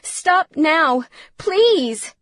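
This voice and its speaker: A woman's voice: high-pitched, animated, normal speed, no echo, voice pushed up. An anxious, protective mother played by a woman narrator in an audiobook story. She is urgent and insistent, pleading and commanding in a raised, dry-sounding voice.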